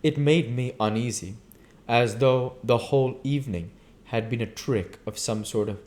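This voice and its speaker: A man reading a literary novel aloud in a measured narrating manner, as host of his own reading series. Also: medium pitch, a little mellow. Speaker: reader and channel host.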